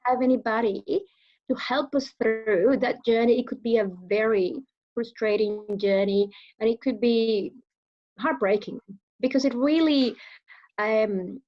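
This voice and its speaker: A woman's voice: mid-range voice, highs cut off. A woman from Venezuela with a Venezuelan Spanish accent, speaking English as a second language, answering interview questions in a hesitant way with many fillers. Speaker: guest speaker.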